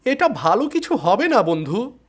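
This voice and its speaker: High, anxious male friend , acted by a man. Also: very animated, unhurried, breathy edge, full character voice. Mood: worried. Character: anxious male friend warning the ghost hunter.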